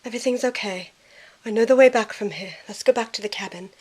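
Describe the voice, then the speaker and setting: A woman playing a kind, caring young woman in an audio drama, with a gentle, earnest way of talking. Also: a little high, fast, breathy edge, rough recording. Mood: soothing.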